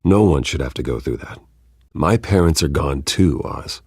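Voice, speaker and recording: An actor playing a brooding, guarded vigilante in a video game, terse and grave. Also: male voice, rumbling low, animated, normal speed, darkish tone, breathy edge, no room sound, studio quality, full character voice. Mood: somber. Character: brooding, guarded wealthy vigilante man in his prime.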